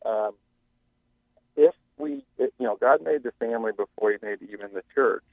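A man phones in to a radio show and makes an earnest, preachy case in long questions. His mid-pitched voice comes through a thin, narrow phone line with no room around it. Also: animated, normal speed, creaky, no echo. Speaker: caller. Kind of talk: caller on a radio talk show.

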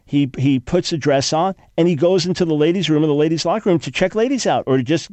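A man's voice: on the high side, fast talker, darkish tone, no room sound. An older man hosting call-in talk radio, sounding congested, arguing emphatically with frequent restarts. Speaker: radio talk show host.

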